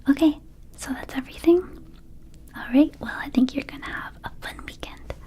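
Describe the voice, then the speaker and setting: A woman voicing a small, friendly vampire shopkeeper in an ASMR roleplay, whispering gently and haltingly to the listener as a close friend. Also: high voice, steady tone, close to an everyday voice. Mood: affectionate, sheepish. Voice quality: soft, breathy whisper. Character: small friendly female vampire shopkeeper, quirky, needy, affectionate. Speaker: ASMR performer.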